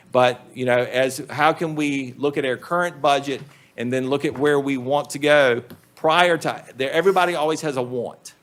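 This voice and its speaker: A man, a school superintendent, presenting budget figures to a county board in a plain, measured way with frequent ums. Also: mid-range voice. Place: public meeting room.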